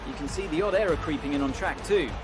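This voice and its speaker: Guy as race pundit, critical but fair, high voice, game commentary, loud music behind. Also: fast, close to an everyday voice. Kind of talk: sports commentary in a video game.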